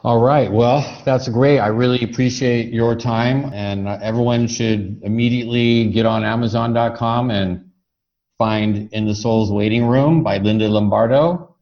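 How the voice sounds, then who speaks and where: A man's voice: medium pitch, fairly even intonation, unhurried. A man hosting a conversational interview, speaking in an effusive, appreciative way. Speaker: interview host. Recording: dull, muffled lo-fi audio.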